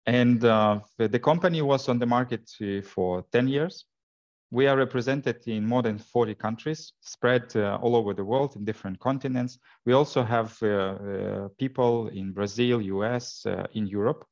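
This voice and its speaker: A man's voice: fairly low pitch, vocal fry, a little mellow. A man from Ukraine, giving a product presentation in English with many fillers and false starts. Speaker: software sales and technical support presenter. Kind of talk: presentation at an info day. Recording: dull lo-fi recording.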